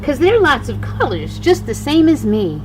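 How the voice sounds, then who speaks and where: An older woman, a grandmother, reading a rhyming children's storybook aloud in an expressive, singsong way. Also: high voice, normal speed, slight background noise, poor audio.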